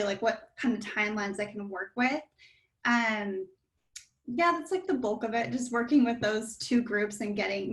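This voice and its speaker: A woman on a career panel, talking quickly and casually with frequent "like". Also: fairly high pitch.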